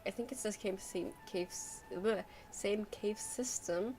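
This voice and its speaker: Woman, game streamer, mid-pitched, casual joking commentary, wry slang, faint noise behind. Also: a little slow, warm tone.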